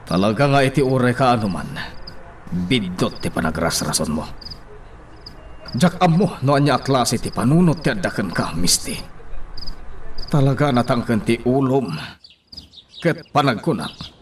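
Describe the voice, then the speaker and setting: A man's voice: mid-range voice, big pitch swings, normal speed, pauses often, distant mic, close to an everyday voice. A man playing an exasperated, scolding father in a radio drama, with an Ilocano accent. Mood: angry, scolding. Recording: off-mic, faint insect and background noise.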